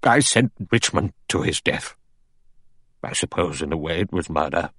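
A man voicing a guilt-haunted, lonely old general who muses aloud in a husky, halting, distracted way. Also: low-pitched, expressive, fairly bright tone, no room sound, studio quality. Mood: haunted confession. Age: older.

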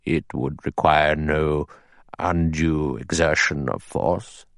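Man playing a slow, sour old judge, very low. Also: steady tone, fairly bright tone, dry recording, full character voice. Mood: cold, probing. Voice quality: small, thin, clear voice. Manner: slow, deliberate, dry and sour. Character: elderly male judge, cold, measured, acid-tongued authority.

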